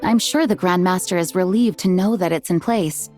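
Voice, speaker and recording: A woman's voice: on the low side, natural voice. Woman as an earnest, composed knight commander. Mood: earnest, thoughtful. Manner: measured, formal, courteous. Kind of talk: video game dialogue and story narration. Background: music.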